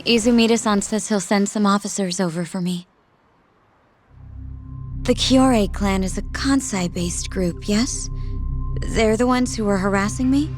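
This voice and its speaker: A woman's voice: medium pitch, a little slow, long pauses, close to an everyday voice. A woman voicing a concerned, inquisitive and composed young professional in an English video game dub. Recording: background music under the dialogue.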